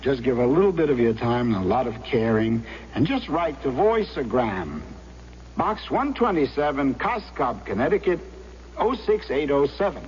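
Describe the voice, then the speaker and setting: A man's voice: mid-range voice, big pitch swings, normal speed, a little mellow. A man making an earnest, scripted public service appeal on old radio. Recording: muffled old radio with hiss, hum.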